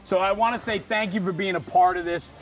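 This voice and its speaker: A man's voice: high voice, fairly even intonation, brisk, rough recording. A man hosting an equipment walkaround in a conversational, explanatory manner. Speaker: host presenting fire apparatus walkaround. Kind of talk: on-camera walkaround presentation.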